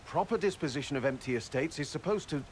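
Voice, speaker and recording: An actor playing an exasperated, by-the-book commander in a video game. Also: male voice, on the high side, lively intonation, quick pace, slight background noise, lightly acted. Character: stiff, rule-bound male military commander.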